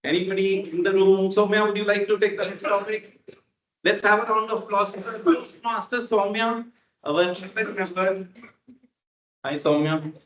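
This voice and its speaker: A man's voice: sits high, fairly even intonation, moderate pace, fairly bright tone, roomy sound, muffled recording, a little hiss or hum. A man hosting a club meeting, calling on speakers in a friendly way with frequent fillers. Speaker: table topics master.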